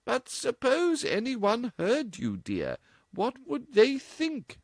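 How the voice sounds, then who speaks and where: A man reading aloud and voicing a gentle, prim mother who speaks hesitantly and with worry. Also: extremely high pitch, very animated, dry recording, studio quality, pitched above the performer's own voice, heavily acted. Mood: prim worried. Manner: prim, hesitant, slow and anxious.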